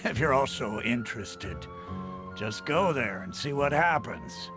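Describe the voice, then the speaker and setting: A man playing an easygoing, seasoned mercenary chief in a video game, gravelly and measured, as if confiding. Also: mid-range voice, animated, music in the background, lightly acted. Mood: advising.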